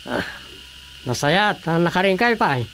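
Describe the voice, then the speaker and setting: An actress playing a polite, watchful village councillor with a Filipino accent in a radio drama. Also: female voice, fairly low pitch, sing-song, normal speed, fairly bright tone, slight background noise. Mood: firm.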